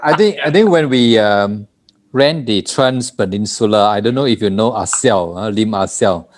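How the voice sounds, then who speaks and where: A man chatting casually on a video call as an ultra runner, agreeable and quick to say yeah. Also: lowish voice, lively intonation. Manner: agreeable, many yeahs and laughs.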